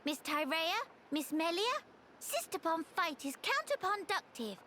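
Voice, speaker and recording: A very high, swooping voice of a cute little creature girl for a video game. She is excitable and bossy, talks slowly in mangled third-person baby talk, with some ambient noise behind her. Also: exaggerated voice. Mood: chirpy, scolding. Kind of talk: video game character acting.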